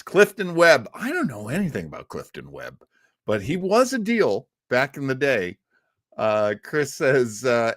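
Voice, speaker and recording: A man hosting a show who chats casually and rambles, laughing as he goes. His pitch moves about a lot, and he talks at a slow pace. The sound is dry and close. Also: a bit bright, no room sound. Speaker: podcast host. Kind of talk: casual chat.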